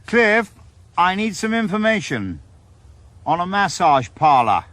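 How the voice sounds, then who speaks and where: A man's voice: sits high, big pitch swings, a little slow, fairly bright tone, dry recording, full character voice. An actor playing a wry, stubborn retired male detective in a radio drama. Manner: dry, wisecracking. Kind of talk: 1980s radio drama acting.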